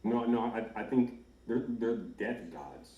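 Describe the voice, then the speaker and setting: A man's voice: mid-range voice, takes it slowly, dark tone, muffled recording. A man hosting a podcast in casual, slangy chat, often saying bro. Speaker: podcast host. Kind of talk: casual chat about anime. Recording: roomy echo with background noise.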